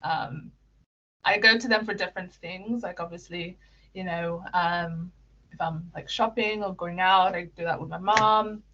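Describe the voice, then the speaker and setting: Giggly young woman, slightly low voice. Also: steady tone, a little slow, sharp tone, lo-fi sound. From Kenya. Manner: giggly, many fillers and restarts. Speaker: interview guest. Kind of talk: casual interview answers.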